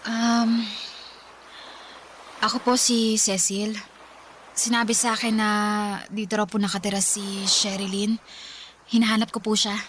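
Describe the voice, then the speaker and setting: An actress playing an earnest, forgiving grown daughter in a radio drama, with a polite, halting delivery. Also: female voice, on the high side, level tone, a bit bright, slight background noise. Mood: nervous, hopeful. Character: earnest, forgiving grown daughter seeking her long-lost mother. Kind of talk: Tagalog radio drama acting. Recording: music under the dialogue.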